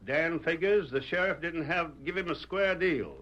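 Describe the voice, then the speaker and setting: High-pitched folksy old frontier deputy. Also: male voice, normal speed, pushed and bright. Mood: earnest, opinionated. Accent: American Western. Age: older. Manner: folksy drawling frontier talk, emphatic. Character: folksy, plain-spoken old former sheriff turned deputy. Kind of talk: 1940s radio western acting. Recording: muffled old radio broadcast.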